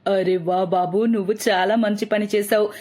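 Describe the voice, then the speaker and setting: A woman voicing an expressive, doting middle-aged mother and mother-in-law in a cartoon story. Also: mid-range voice, fairly quick, close to an everyday voice. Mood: delighted.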